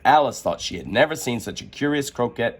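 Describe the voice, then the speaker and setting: A man narrating a children's story aloud in an expressive, theatrical manner. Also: medium pitch, a little fast. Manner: animated, theatrical delivery.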